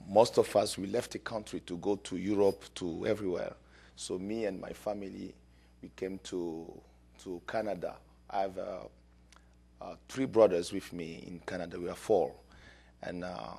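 A man from Congo, a guest pastor, sharing a fervent testimony in church, halting often with fillers. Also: deep voice, gravelly, breathy edge.

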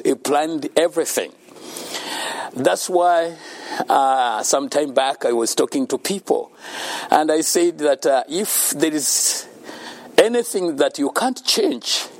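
A man speaks slowly and deliberately. His voice sits a little high and moves lively up and down as he makes earnest points about faith, with frequent laughter between his remarks. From Uganda. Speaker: speaker at a children's home. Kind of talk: faith-based motivational talk.

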